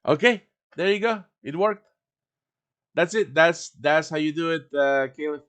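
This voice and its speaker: A man hosting a casual step-by-step software tutorial on a live stream, thinking aloud informally as he works. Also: on the high side, a little slow, clean tone. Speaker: live stream host teaching quest making. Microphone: computer microphone on a live stream voice chat.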